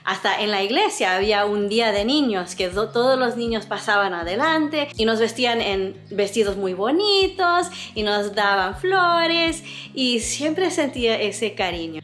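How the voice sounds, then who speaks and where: A woman's voice: mid-range voice, a bit bright. A woman in her fifties with an American accent who grew up in Latin America, sharing earnest, thoughtful reflections on her personal vlog. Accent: American, with mixed Latin American Spanish. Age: 50s. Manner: earnest, thoughtful, speaks with feeling. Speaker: vlogger, host of a frugal living channel.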